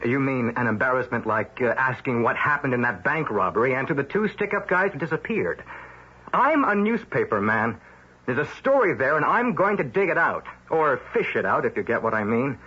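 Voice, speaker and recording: Brash, rough-edged newspaper reporter. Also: male voice, medium pitch, sing-song, normal speed, pushed and bright, full character voice. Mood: defiant. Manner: wisecracking, insistent. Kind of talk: old-time radio drama acting. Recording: dull vintage radio recording.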